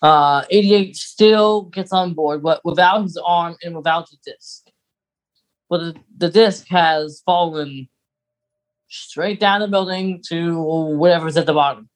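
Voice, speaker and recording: A woman's voice: fairly low pitch, measured pace, low-quality recording. A woman co-hosting a podcast, giving a casual, conversational recap of a story. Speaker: podcast co-host.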